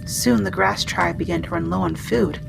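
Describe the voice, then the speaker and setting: A woman playing a friendly, soft-spoken female wendigo in an ASMR storytelling roleplay. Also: fairly low pitch, normal speed, creaky, rough recording. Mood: solemn storytelling. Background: music.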